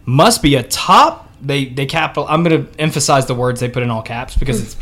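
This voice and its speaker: A man's voice: mid-range voice, expressive, a little fast, a bit bright. A man co-hosting a comedy podcast in a casual, conversational style. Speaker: podcast co-host. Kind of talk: casual comedic podcast talk, reading out ads.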